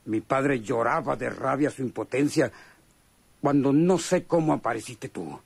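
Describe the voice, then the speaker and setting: Husky rural Mexican man. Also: mid-range voice, a little mellow, no room sound. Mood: bitter. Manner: colloquial, halting, folksy storytelling. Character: rough rural Mexican man from poor peasant family, chummy, bitter. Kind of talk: radionovela acting.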